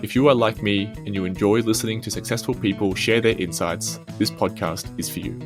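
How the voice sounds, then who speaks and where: A man with an Australian accent hosting a podcast, reading a formal introduction and asking measured interview questions. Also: on the low side, over music. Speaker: podcast host.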